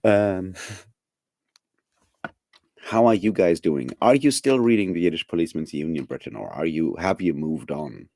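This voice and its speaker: A man's voice: deep voice, animated, moderate pace, long pauses, dark tone, no room sound. A man chatting casually with his live viewers, rambling with lots of fillers, restarts and chuckles. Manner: chuckles often. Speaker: streamer.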